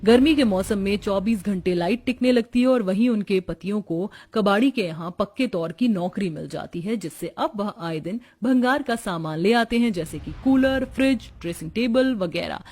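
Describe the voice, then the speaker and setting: A woman's voice: medium pitch, normal speed, hardly any pauses, darkish tone. A woman narrator reading a scripted cartoon story.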